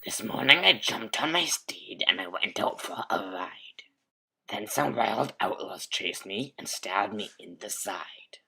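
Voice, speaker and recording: A man recites comic storytelling verse. His voice is husky and full of breath, with a sharp, pressing brightness. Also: on the low side, animated, measured pace, poor audio.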